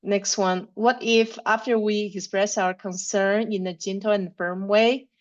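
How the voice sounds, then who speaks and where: A woman moderating a webinar, reading the audience's questions aloud quickly and briskly over a video call. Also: medium pitch, steady tone, highs cut off.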